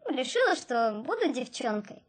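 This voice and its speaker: An actress playing a naive, curious unborn baby girl in a radio play, gentle, childlike and hesitant. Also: high voice, sing-song, moderate pace. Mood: gentle, shy. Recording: studio radio play with music behind.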